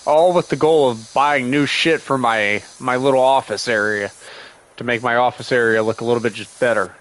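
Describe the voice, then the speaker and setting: Guy streaming a game, sarcastic and grumbly, still has a bit of a cough from a cold, middle-pitched voice. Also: fairly even intonation, normal speed, fairly bright tone. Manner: sarcastic, grumbling, talks back to the game's characters. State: getting over a cold, with a lingering cough. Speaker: gaming streamer. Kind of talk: casual livestream game commentary.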